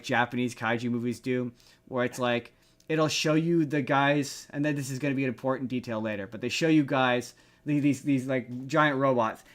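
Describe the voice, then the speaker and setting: A man co-hosting a podcast in casual chat, rambling and repeating himself for emphasis. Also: medium pitch. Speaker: podcast co-host. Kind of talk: casual chat reviewing movies.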